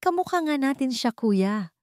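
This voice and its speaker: A woman's voice: high voice, very animated, normal speed, a little mellow, no echo, studio quality, pitched above the performer's own voice. A woman voicing a bold, serious seven-year-old boy in an audio drama.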